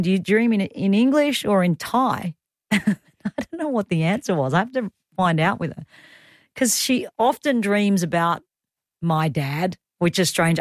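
A woman's voice: medium pitch, dry recording, studio quality. An Australian woman in her thirties hosting a talk radio show, chatting through the rundown with personal asides. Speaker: radio host. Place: radio studio. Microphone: studio microphone.

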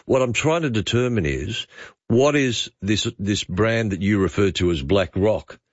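A man's voice: lowish voice, animated, a little slow, no room sound. A man with an Australian accent hosting a live talkback show, questioning and probing his caller. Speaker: radio talkback host. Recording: slightly dull radio broadcast sound.

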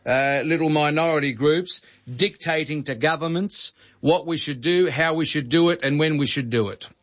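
A man's voice: fairly high pitch, normal speed, a bit bright. A man from Greece hosting talkback radio in a blunt, indignant, ranting way. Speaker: radio host. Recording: dull lo-fi archival radio recording.

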